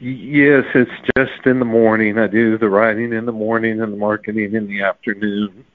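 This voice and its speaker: A man's voice: lowish voice, steady tone, moderate pace. A man answering interview questions casually as a novelist, heard over a tinny, narrow line.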